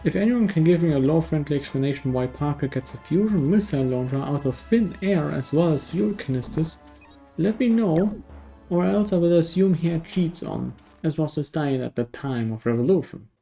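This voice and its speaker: A man narrates a scripted game review with dry sarcasm. His voice sits slightly high for a man and has a mellow, relaxed tone. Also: brisk, gravelly, soft background music. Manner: dry, sardonic, sweary.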